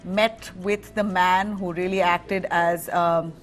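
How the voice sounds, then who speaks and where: A woman's voice: on the low side, fairly even intonation, slow. Indian woman anchoring a live news bulletin.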